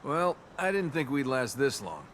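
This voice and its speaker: A man's voice: medium pitch, big pitch swings, normal speed. An actor playing a flippant, wisecracking young male adventurer in a video game, with an American accent. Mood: wry, sarcastic. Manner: flippant, sarcastic. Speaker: video game lead character. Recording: game audio over loud ambient noise.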